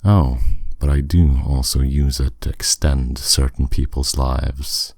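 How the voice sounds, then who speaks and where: A man playing a powerful, courteous demon captor in an ASMR roleplay, speaking with smooth, teasing, condescending authority. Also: a bass voice, fairly even intonation, darkish tone, dry recording, clean studio sound, heavily acted. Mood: calm, matter-of-fact. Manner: smooth, condescending, unhurried, with endearments.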